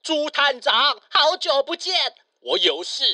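Woman as an excitable, high-pitched cackling cartoon locksmith. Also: measured pace, no echo, studio quality, full character voice. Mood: excited, pleading. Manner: exclaims, repeats himself, punctuates talk with quacking cackles. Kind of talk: children's audio drama acting.

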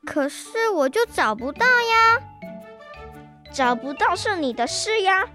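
A woman's voice: very high voice, very animated, slow pace, bright, forceful tone, soft background music, heavily acted. A schoolgirl acting a whiny, indignant young sister in a children's radio drama. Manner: whiny, protesting.

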